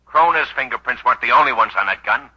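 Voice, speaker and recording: An actor playing a suspicious, sarcastic police detective who badgers bluntly, in a 1940s radio drama. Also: male voice, mid-range voice, fast talker, slightly rough, close to an everyday voice. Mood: accusing. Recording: dull, lo-fi 1940s radio recording.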